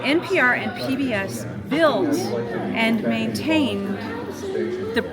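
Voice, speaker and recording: A woman's voice: a little high, expressive, slow pace, loud music behind the voice. A woman who works in events and marketing at a broadcast station, chattily explaining things in an informal interview. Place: busy public space at an open house event. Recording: loud crowd chatter in busy public space.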